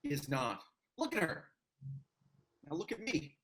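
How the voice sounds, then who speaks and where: A man playing a vain, flirtatious orc who smooth-talks and bickers playfully in a fantasy comedy table read. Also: on the high side, big pitch swings, a little slow, bright, forceful tone, full character voice. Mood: smug, coaxing. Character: cocky, flirtatious, vain male orc charmer.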